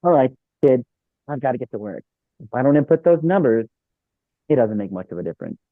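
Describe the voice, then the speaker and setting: A man's voice: mid-range voice, very animated, a little fast, no room sound. Man acting a sarcastic joker, tinny phone-like sound. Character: sarcastic, joking young office worker. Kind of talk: table read of a sitcom script. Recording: thin phone-like line, dry.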